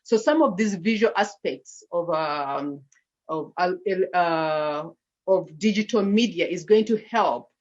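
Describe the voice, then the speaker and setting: Ugandan woman with a lowish voice. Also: slow, dull audio. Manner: hesitant, many fillers and restarts. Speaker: nonprofit founder presenting slides. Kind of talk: online slide presentation.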